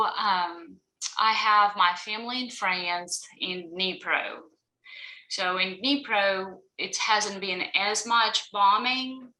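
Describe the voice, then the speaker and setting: A woman's voice: mid-range voice, pushed and bright. A woman of about forty from Ukraine with a Ukrainian accent, giving a personal account as an interview guest on a video call. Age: around 40. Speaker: interview guest, college professor. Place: indoor room at home or office, on a video call. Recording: roomy, echoing video call.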